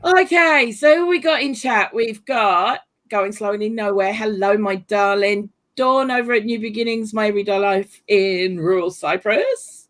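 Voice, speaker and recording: Hoarse woman hosting a live chat. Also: medium pitch, unhurried, few pauses. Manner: chatty, laughs often, fillers like "you know". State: losing her voice, hoarse. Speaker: host. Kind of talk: casual live-stream chat.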